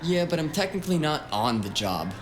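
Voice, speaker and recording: An actor playing a reckless, breezy cyberpunk hacker sidekick in an audio drama, flippant and evasive in manner. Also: male voice, a little high, fairly quick. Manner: flippant, animated, hedging. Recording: music behind the voice.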